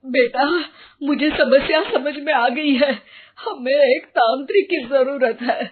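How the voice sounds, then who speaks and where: A woman's voice: sits high, slow pace. A woman voicing an anxious, superstitious old village mother-in-law in a story, dramatic and scolding, through a tinny narrow band. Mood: worried.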